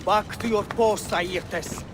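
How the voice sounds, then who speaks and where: Stern, high-voiced guard commander. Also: male voice, steady tone, slow pace, noisy background. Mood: scolding. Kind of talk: video game cutscene acting.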